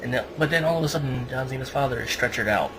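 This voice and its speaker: A man's voice: mid-range voice, very fast. A man hosting a podcast, giving a casual, sarcastic play-by-play recap. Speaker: podcast host. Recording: clearly noisy home podcast recording.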